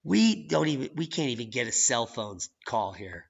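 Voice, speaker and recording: A man hosting a channel, reading news and weather maps with sarcastic, profane commentary that swings from low mutters to loud outbursts. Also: big pitch swings, moderate pace, vocal fry, husky, muffled recording. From United States. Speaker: channel host.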